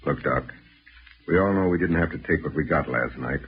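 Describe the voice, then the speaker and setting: An actor playing a tough, cool-headed American detective, terse and dry, in a 1940s radio drama. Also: male voice, extremely low pitch, brisk. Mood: calm. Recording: dull, noisy old radio recording.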